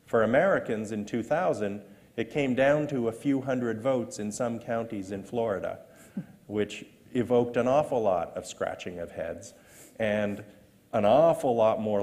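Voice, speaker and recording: A man from Canada speaking as a panelist, measured and fond of rhetorical asides. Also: on the low side, a little mellow. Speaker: panelist on elections. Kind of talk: panel discussion. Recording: long reverb of a hall.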